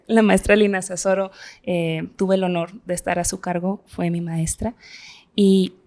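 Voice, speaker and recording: Woman from guadalajara, mexican accent, mellow warm voice, earnest. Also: medium pitch, slow. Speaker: interpreter panelist.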